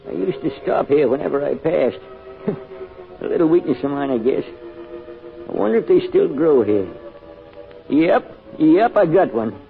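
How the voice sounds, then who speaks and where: An actor playing a gentle, reflective old grandfather in a folksy, musing way, in an old-time radio drama. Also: on the high side, expressive, a little slow, gravelly, pauses often. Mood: wistful. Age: older. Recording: tinny band-limited old radio, music behind.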